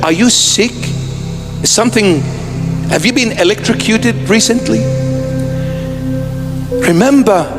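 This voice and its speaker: A man's voice: high-pitched, unhurried, long pauses, pitched above the performer's own voice. A man voicing a young former party friend who mocks him with incredulous, scoffing taunts. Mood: mocking. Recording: long hall reverb with music behind.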